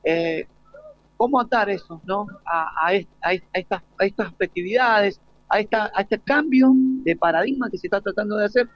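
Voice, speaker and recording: An Argentine man hosting an informal live panel interview, haltingly searching for his words. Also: high-pitched, dry recording. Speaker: host and interviewer. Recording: dull lo-fi live broadcast.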